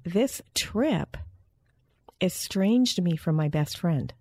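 Creaky-voiced woman, casual and expressive. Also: lowish voice, slow pace, no echo, studio quality. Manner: informal, expressive, acts out quoted dialogue. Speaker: interview host. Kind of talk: casual chat, animated anecdote telling.

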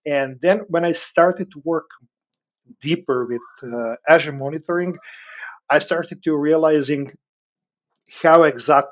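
A man from Serbia with a Serbian accent, speaking conversationally as an interview guest in halting phrases with frequent filled pauses. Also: a little high, dull audio. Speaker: podcast guest, cloud engineer.

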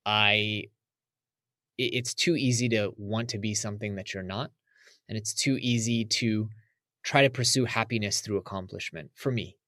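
Low-voiced man talking reflectively. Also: normal speed, sharp tone, dry recording, studio quality. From United States. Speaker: podcast guest. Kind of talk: casual interview talk.

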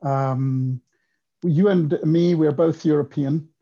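Swiss guy in his 50s on a podcast, kinda gravelly, mellow dark tone, thinks out loud slowly. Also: a little high, fairly even intonation, lo-fi sound. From Switzerland. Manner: reflective, unhurried storytelling. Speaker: podcast guest, economist. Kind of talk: casual interview talk.

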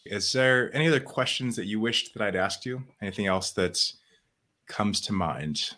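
A man who hosts as a sleep coach, asking questions in a calm, gentle, easygoing way. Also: fairly low pitch, moderate pace. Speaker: sleep coach podcast host. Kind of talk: interview hosting.

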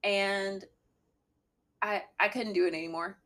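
A young adult woman with a slightly deep voice, a guest talking candidly with a dry sense of humor. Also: steady tone, unhurried. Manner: candid, dry humor, mimics a bright work voice. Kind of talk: casual chat.

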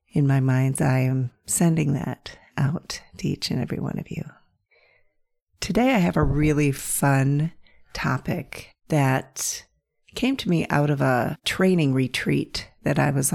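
A middle-aged woman from Pennsylvania hosting her podcast, hoarse and croaky from bronchitis, telling stories in a gentle, playful way. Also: deep voice. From north central Pennsylvania. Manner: gentle, playful storytelling with soft laughs. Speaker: podcast host. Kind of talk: reflective solo podcast monologue.

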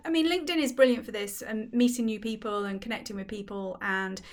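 A woman's voice: mid-range voice, quick pace. A woman from Wales, a business founder, speaking articulately as a guest in a casual interview. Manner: articulate and fluent.

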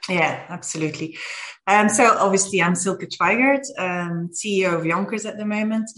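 A woman in her forties from Belgium, a company CEO, telling her career story in a measured way with frequent fillers. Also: medium pitch, a bit bright, a little hiss or hum. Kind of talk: interview conversation.

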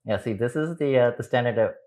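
Very deep-voiced woman interviewer. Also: fast, dark tone, poor audio. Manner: admiring, encouraging questions. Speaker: interviewer host. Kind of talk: conversational interview.

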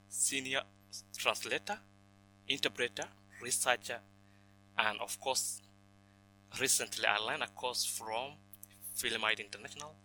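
A man's voice: medium pitch, pushed and bright, poor audio. A man, answering interview questions earnestly and listing things with frequent "you know". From Somalia, raised in Kenya. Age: 20s. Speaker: podcast guest.

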